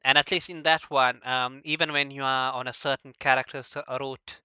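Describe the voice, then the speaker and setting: A man with a very bright, clear voice hosts a casual discussion. He speaks haltingly, often restarting his words as he asks questions. The sound is dry and a little dull, like modest lo-fi audio. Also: mid-range voice, no room sound. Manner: hesitant, halting, often stumbling over words. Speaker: podcast host asking questions.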